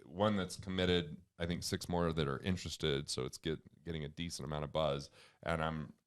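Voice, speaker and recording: A man with a booming voice, a financial author and comedian, talking earnestly and emphatically as a guest in an interview. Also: steady tone, moderate pace. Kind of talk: conversational interview.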